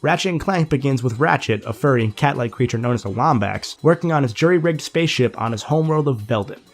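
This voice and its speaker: A young man narrates a scripted game review at a mid pitch. His voice sounds a little nasal and congested as he gets over a sinus infection. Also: lively intonation, fast talker.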